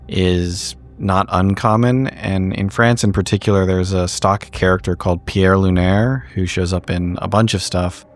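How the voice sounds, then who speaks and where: A man playing a tabletop roleplaying game, talking in character in a dry, understated, hesitant way. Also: sits low.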